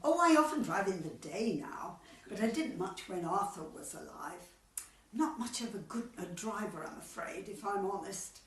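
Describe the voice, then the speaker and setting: A woman's voice: mid-range voice, lively intonation, moderate pace, close to an everyday voice. A woman playing a dithery, chatty older English widow in an amateur radio comedy, rambling and apologetic. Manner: rambling, hesitant, wistful. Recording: echoey, distant mic, faint noise.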